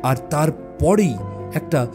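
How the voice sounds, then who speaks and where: A man narrating a suspense story in a measured, dramatic way with deliberate pauses. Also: fairly high pitch, lively intonation. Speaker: audiobook narrator. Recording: music bed behind the voice. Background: background music.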